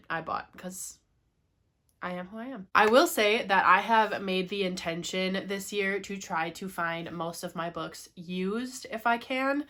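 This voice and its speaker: A woman's voice: medium pitch, sharp tone. A young woman hosting a casual book haul vlog, with a congested, cracky voice from a cold, chatty and laughing often. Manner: chatty, laughs often, frequent ums and likes. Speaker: booktuber host.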